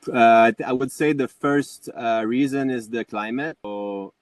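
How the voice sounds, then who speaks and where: French-Canadian man, halting video call. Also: mid-range voice, no echo. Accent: Quebec French. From Quebec, Canada. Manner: halting, repeats words, non-native phrasing. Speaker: guest bootmaker. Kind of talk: casual video call interview.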